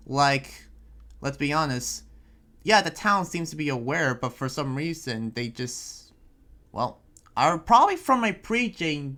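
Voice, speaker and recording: A man playing an earnest, protective young town heir in an improvised anime game roleplay, talking in a halting, conversational way. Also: on the high side, bright, forceful tone. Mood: earnest, thoughtful. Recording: background music under the voice.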